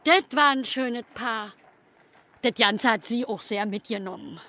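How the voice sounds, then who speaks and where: A woman's voice: a little high, unhurried, pushed and bright. An older woman acting in a radio drama as a nosy, old-fashioned, chatty landlady in 1910s Berlin. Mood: chatty, concerned.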